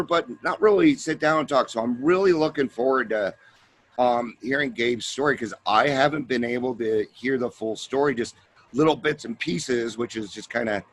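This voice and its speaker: A man's voice: mid-range voice, fairly bright tone. A man hosting a recovery talk show, speaking in a heartfelt, conversational way. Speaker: podcast host. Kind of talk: casual interview chat.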